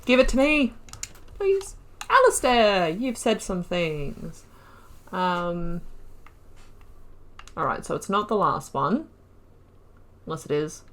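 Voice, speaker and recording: A woman's voice: lowish voice, lively intonation, takes it slowly, long pauses, fairly bright tone. A woman hosting a game playthrough, reading lore entries aloud and breaking off into casual, rambling asides. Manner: chatty, trails off and restarts mid-sentence. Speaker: gaming video host.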